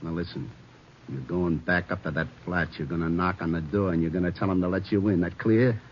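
An actor playing a menacing American gangster on the run in a 1950s radio crime drama, barking curt slangy orders and sardonic threats. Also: male voice, low voice, steady tone, brisk, lightly acted. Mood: commanding, sardonic. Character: menacing cop-killing gangster on the run, male. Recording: muffled, noisy 1950s radio recording.

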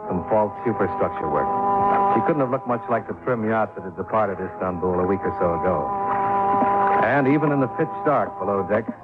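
An actor in a 1950s radio drama playing a wry, clipped, sardonic insurance investigator who also narrates. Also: male voice, lowish voice, expressive, fairly quick, close to an everyday voice. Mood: dry narration. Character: wry, hard-boiled male insurance investigator narrating his case. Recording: tinny phone-like 1950s radio recording with music.

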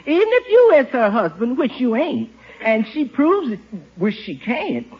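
A sassy, loyal American housekeeper in vintage radio comedy. Her voice is high and very animated, with a folksy, emphatic delivery on a muffled old recording. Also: unhurried, slightly rough, exaggerated voice. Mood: reassuring, sassy. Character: loyal, sassy, plain-spoken female household servant. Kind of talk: 1950s radio situation comedy acting.

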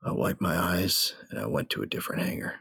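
A man acting a grieving, bitter bounty hunter who narrates his memories in a reflective, rough-spoken way for an audio drama. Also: low-pitched, expressive, fairly quick, fairly bright tone, full character voice. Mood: somber reflection.

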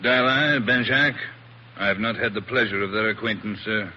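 An actor playing a formal, coolly insistent plantation owner in a 1940s radio drama, stiffly polite and clipped. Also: male voice, fairly low pitch, normal speed, pushed and bright, dull audio. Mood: formal, brisk. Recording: noisy old 1940s radio recording.

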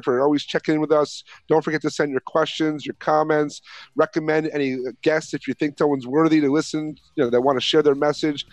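A man's voice: a little high, a little mellow, no echo. A man hosting a podcast, chatting earnestly with frequent restarts and fillers. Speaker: podcast host. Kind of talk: casual interview chat.

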